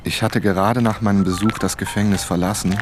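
A man's voice: fairly low pitch, fairly even intonation, brisk, over music, close to an everyday voice. An actor playing a troubled young novelist who narrates his own crime story in a radio play. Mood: grave, reflective. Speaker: narrator and lead character.

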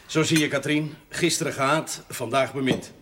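An actor playing an earnest, wry chaplain in a television drama. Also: male voice, medium pitch, fairly even intonation, normal speed, a bit bright, natural voice. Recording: very noisy old TV drama soundtrack.

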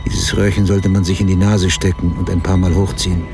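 An actor playing a haunted, hard-boiled writer, narrating his past in terse sentences in a radio drama with a gravelly voice. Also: sits low, little pitch movement, fairly quick. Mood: matter-of-fact. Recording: music under the voice.

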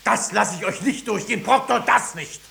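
A man's voice: sits high, normal speed, vocal fry, bright, forceful tone, full character voice. An actor playing an indignant, agitated man in a courtroom in a 1950s German radio drama. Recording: echoey, noisy 1950s radio recording.

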